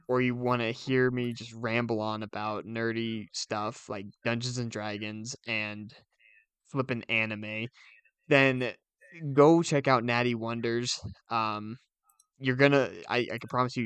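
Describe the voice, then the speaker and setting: A man's voice: medium pitch, flat delivery, measured pace, fairly bright tone, smooth, no echo. A man co-hosting a podcast, chatting in a casual, laid-back and informal way. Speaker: podcast co-host.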